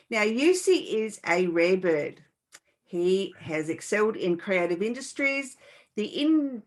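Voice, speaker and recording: A woman hosting a podcast, giving introductions and questions in a presenterly way with pauses mid-sentence. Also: medium pitch. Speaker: podcast host. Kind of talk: scripted introduction and interview questions.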